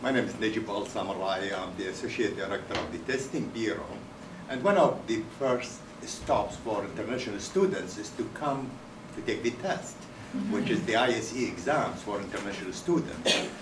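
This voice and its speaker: Deeper-voiced guy, formal intro, roomy faraway recording. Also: lively intonation, normal speed. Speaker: testing bureau associate director. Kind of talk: panel self-introduction. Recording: echoey classroom, distant mic, noisy.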